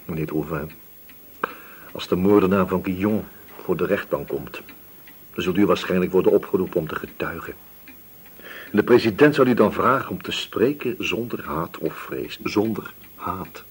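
Low, very husky police inspector. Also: male voice. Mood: stern, measured. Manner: measured, questioning, gently admonishing. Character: probing, patient, principled male police inspector. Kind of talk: Dutch radio play dialogue.

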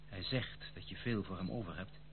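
Courteous gentleman on tinny old radio. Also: deep voice, slightly rough. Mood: polite, concerned. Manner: polite, measured. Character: courteous, deferential gentleman cousin, calm and loyal. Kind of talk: radio play acting. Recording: tinny, phone-like old radio play, slight hum.

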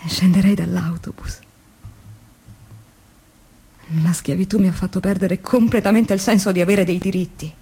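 An actress playing a weary, introspective factory labourer, delivering a dramatic diary monologue in an intimate, deliberate way with a husky voice. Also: female voice, medium pitch, steady tone, dark tone. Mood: bitter, resigned. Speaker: stage actress performing a monologue. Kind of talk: dramatic first-person theatre monologue, diary reading.

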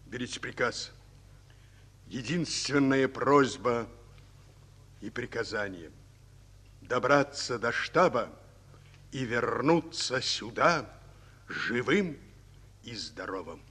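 A man's voice: medium pitch, expressive, slow pace, pauses often, sharp tone, breathy edge, heavily acted. An actor playing a wounded Soviet colonel in a radio play, fatherly and commanding, with old-fashioned endearments. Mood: solemn, fatherly. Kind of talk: Russian radio play acting.

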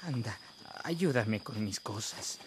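A man playing a calm, kindly, fatherly priest in a radio drama. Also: fairly low pitch, slow pace, background noise. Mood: calm, needing help.

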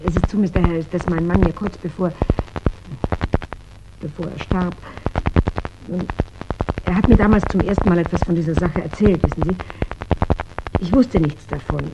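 An actress playing a determined, quietly probing young-to-mature widow in a 1960s German radio crime drama, speaking earnestly and carefully. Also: female voice, lowish voice, moderate pace, long pauses, close to an everyday voice. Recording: muffled, noisy 1960s radio drama recording.

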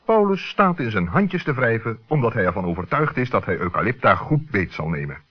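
A man narrating a children's radio play in a scripted storytelling style, with a husky voice. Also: on the low side, big pitch swings, a little fast. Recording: dull lo-fi old radio recording.

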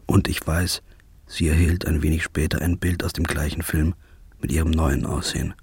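A man's voice: a bass voice, level tone, moderate pace, a little mellow, slightly rough, dry recording, full character voice. A brooding hungover male investigator. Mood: determined. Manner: terse, clipped, brooding. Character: haggard male detective, hungover and obsessive. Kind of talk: film-style drama with voice-over narration.

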